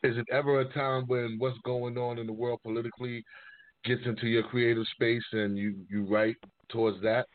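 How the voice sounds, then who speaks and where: A man with a medium-pitched voice hosts an informal interview. He speaks haltingly, circling back to reword his questions. The audio is thin and phone-like, with a dry, close sound. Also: level tone, no room sound. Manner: halting, rephrases questions mid-sentence. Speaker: interviewer, music show host.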